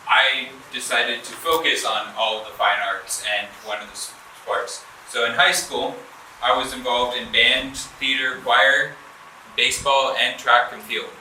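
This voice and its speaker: A young man from the Southern United States with a Southern accent, giving a relaxed student presentation with plenty of filler "uh"s. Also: medium pitch, measured pace, a little hiss or hum. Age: 20s. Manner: relaxed, many filler uhs, loses his thread. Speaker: student speaker at an education fair. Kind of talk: presentation to an audience. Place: large event hall. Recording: echoey room from far off.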